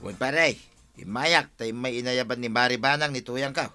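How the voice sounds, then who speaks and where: An actor plays an amiable village man with a very bright, forceful voice and an Ilocano accent. His pitch is average, and the radio comedy delivery sounds close and dry. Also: normal speed, no echo, soft background music, natural voice. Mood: friendly.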